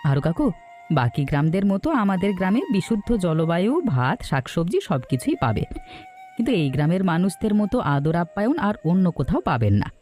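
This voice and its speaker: A woman's voice: fairly low pitch, sing-song, fairly quick, warm tone, faint music behind. A woman playing a poor, humble young orphan lad in a cartoon folktale, earnest and deferential. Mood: earnest, proud.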